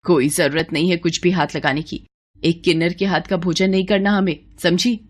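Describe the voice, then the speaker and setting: Lady doing a bitter old mother-in-law for an audio story, lowish husky voice, dramatic scolding and lamenting. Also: fairly even intonation, normal speed, natural voice. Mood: scornful.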